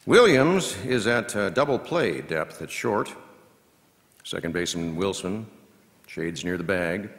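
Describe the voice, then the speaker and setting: A man performing a scripted baseball play-by-play as an announcer, in measured, clipped phrases that can swell into excited calls. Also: low voice, exaggerated voice. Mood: measured, watchful. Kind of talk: scripted radio-style baseball commentary, read as a performance. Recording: long reverb of a large hall.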